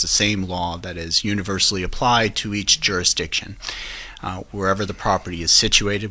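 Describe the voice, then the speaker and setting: Low, bright-voiced man explaining law. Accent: New York. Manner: measured, explanatory legal talk. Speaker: eviction attorney guest. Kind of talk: podcast interview.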